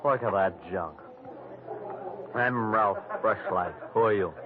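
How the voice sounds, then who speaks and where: An actor playing a petulant, self-pitying rich bridegroom in a 1940s-style radio drama. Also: male voice, lowish voice, takes it slowly, a crowd in the background. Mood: bitter, sullen. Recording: tinny old radio broadcast, music.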